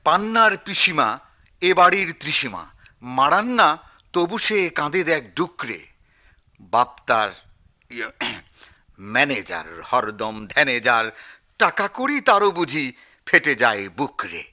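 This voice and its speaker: Radio comedy: timid Bangladeshi editor, high-pitched, wildly animated, stammering, desperate to placate. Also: male voice, slow pace, long pauses, heavily acted. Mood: timid, hesitant. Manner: stammering, repeating himself, placating. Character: flustered, timid male newspaper editor, anxious to placate. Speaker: actor. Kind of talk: comic radio drama acting.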